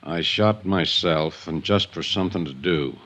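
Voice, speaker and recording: Very deep-voiced wounded tough guy. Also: unhurried, fairly bright tone. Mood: wry, weakened, stubborn. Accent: American. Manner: laconic, stoic. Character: wounded, stubborn, tough man making light of it. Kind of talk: 1950s radio acting. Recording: dull 1950s radio recording.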